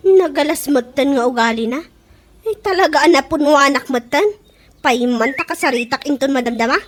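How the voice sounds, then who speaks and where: Woman acting very high-pitched, indignant scolding friend. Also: normal speed, slight background noise, lightly acted. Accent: Ilocano. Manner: emphatic, scolding. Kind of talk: Ilocano comedy radio drama acting.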